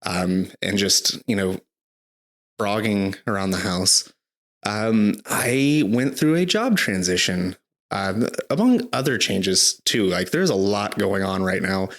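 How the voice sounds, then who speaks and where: Low-voiced man, chatty podcast co-host. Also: lively intonation, slow pace. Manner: rambling, filler-heavy, restarts often. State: slightly hoarse, recovering from illness. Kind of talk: casual chat.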